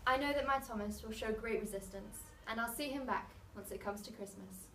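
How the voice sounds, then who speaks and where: A woman's voice: a little high, brisk, natural voice. A woman performing a soldier's wife and munitions worker in a pointed, declamatory slam poem. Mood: loving, hopeful. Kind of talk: dramatic slam poetry recital. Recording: noisy, echoey room, mic far off.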